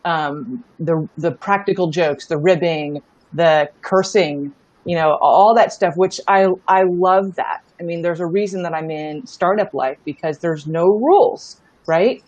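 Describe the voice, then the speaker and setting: A woman's voice: fairly low pitch, a little slow, a little mellow, dry recording, lo-fi sound. A middle-aged woman talking casually as an interview guest, telling stories in a candid, emphatic way and often checking in with the listener. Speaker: interview guest, startup founder. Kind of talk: casual conversational storytelling.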